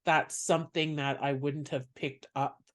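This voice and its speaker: Soft-voiced man, a comedian guest. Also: on the high side, level tone, unhurried. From Canada. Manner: reflective, explains at length. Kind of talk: casual interview chat.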